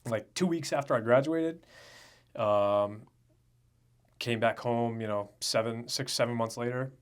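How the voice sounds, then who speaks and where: A man's voice: mid-range voice, measured pace, smooth. A young man from Rhode Island co-hosting a podcast, talking in a loose, joking, unfiltered way. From Rhode Island, USA. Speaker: podcast co-host. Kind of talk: casual chat.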